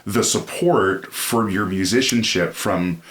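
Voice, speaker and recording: A man's voice: sits low, takes it slowly, fairly bright tone. A man hosting a podcast interview, casually summarizing his guest's answers in long, affirming turns. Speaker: podcast host.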